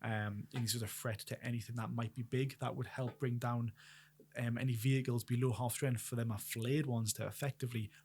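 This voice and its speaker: Fast-talking man co-hosting a gaming show. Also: mid-range voice, little pitch movement, darkish tone, a little hiss or hum. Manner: rapid, chatty explaining. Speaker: co-host. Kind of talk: casual tabletop gaming chat.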